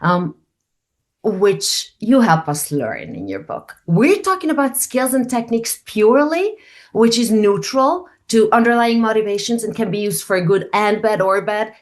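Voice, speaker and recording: A woman's voice: medium pitch, animated, normal speed. Woman interviewing in English. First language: Hungarian. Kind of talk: video interview.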